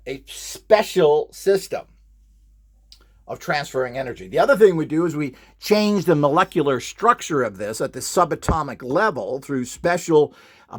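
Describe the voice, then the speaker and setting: A man giving an informal explanatory tutorial, rambling and opinionated as he talks. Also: on the high side. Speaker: instructor and device builder.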